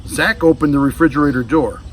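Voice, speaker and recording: A man's voice: on the high side, fairly quick, recorded from a distance, slight background noise. A man reading a children's storybook aloud, adding casual asides to young listeners. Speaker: storybook reader. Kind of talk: children's read-aloud narration.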